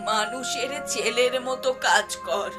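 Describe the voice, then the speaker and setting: A woman's voice: on the high side, unhurried, music in the background, lightly acted. A woman voicing a hard-pressed, scolding mother of an idle son, sarcastic and nagging, in a moral-tale cartoon.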